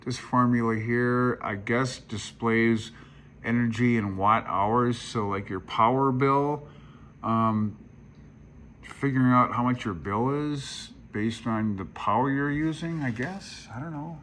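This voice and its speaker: Bright, forceful man rambling casually. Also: medium pitch, slow pace, a little hiss or hum. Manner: rambling, folksy, self-deprecating, unsure. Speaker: amateur radio hobbyist video host. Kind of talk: casual unscripted vlog explanation.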